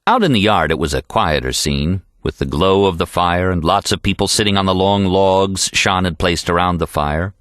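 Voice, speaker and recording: A man reading an audiobook in an expressive, animated storytelling style. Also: low-pitched, normal speed, hardly any pauses, dry recording, clean studio sound. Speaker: audiobook narrator. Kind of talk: expressive scripted audiobook narration.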